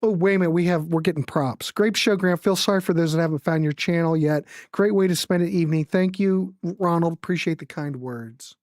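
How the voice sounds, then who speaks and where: A middle-aged or older woman hosting a music show, chatting casually and commenting in an animated, rambling, informal way. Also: fairly low pitch, a little fast, few pauses, dry recording, clean studio sound.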